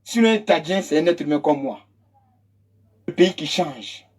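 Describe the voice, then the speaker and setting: An Ivorian-accented commentator delivers a fiery political monologue with a husky, breathy edge. The talk is emphatic and scornful, circling back to the same phrases to drive each point home. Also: mid-range voice, expressive, a little slow. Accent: Ivorian French. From Côte d'Ivoire. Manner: emphatic and mocking, repeats phrases for effect.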